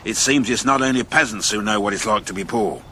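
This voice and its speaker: Weary low-voiced outlaw guard. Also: male voice, brisk, bright, forceful tone, slight background noise. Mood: weary, reflective. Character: weary male outlaw guard, former farmer, decent at heart.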